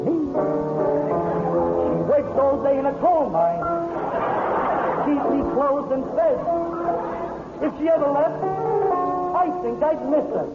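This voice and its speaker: A man's voice: very high-pitched, roomy sound, pitched above the performer's own voice, heavily acted. Man playing a smug New York schmo singing, tinny radio. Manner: slangy, mock-sentimental singing delivery. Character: smug, lazy schmo crooning comic love songs. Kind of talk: 1940s radio comedy song. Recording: tinny band-limited 1940s radio recording. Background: loud musical accompaniment.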